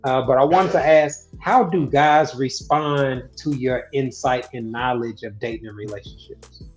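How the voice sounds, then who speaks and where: A man at a middle pitch interviews a guest on a podcast. He talks hesitantly, stacking "uh" fillers and restarting his sentences. Faint music runs underneath. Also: steady tone, unhurried. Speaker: podcast host.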